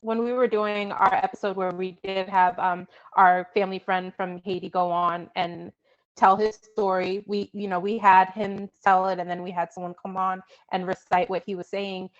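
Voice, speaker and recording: A woman podcast co-host on a panel, telling family stories in a quick, animated way. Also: mid-range voice, lo-fi sound. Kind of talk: panel discussion.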